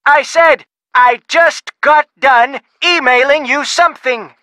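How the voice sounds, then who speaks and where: A blustery folksy researcher. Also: male voice, very high-pitched, slow pace, bright, forceful tone, dry recording, heavily acted. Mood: gruff. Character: gruff folksy older male shipwreck researcher. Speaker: phone contact in a game.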